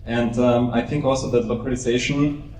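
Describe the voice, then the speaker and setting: A man's voice: mid-range voice, flat delivery, normal speed, darkish tone. A man from Austria with an Austrian German accent, a native German speaker speaking on a conference panel in a chatty way, often tagging his remarks with "yeah" and "you know.". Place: conference stage, roomy hall. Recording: roomy, distant live panel recording with faint music.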